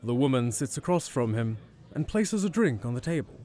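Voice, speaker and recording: A man's voice: medium pitch, very animated, moderate pace, noisy background. Man narrating an audio drama. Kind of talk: scripted narration.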